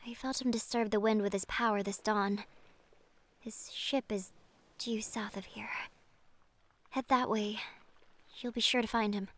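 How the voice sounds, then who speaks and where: A woman playing a gentle, merciful siren in a fantasy audio drama, speaking softly and earnestly, breathy at times. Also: medium pitch, steady tone, unhurried, long pauses, natural voice. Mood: calm resolve. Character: gentle, merciful siren with power over the sea. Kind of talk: cinematic fantasy audio roleplay acting.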